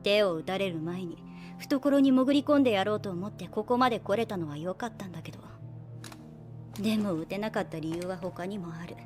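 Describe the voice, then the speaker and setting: A woman acting as a shrewd young female soldier in an anime drama. She speaks at a medium pitch, composed and unhurried, coolly persuasive with a softly teasing edge. Music plays clearly behind her. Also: natural voice. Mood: calm, probing. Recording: background music under the voice.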